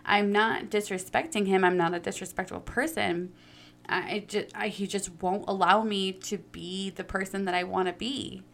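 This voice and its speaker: A young woman talks openly about her own experiences in a voice of middling pitch. She is earnest and emphatic and draws out the words she wants to stress. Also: fairly even intonation, moderate pace, vocal fry. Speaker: personal storyteller. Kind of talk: candid personal talk.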